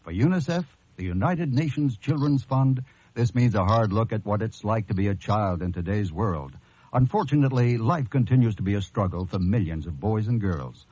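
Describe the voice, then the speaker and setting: Earnest husky male announcer. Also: medium pitch, warm tone, no room sound. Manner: earnest, polished. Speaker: public service announcer. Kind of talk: scripted sober charity announcement. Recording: dull, dry radio recording.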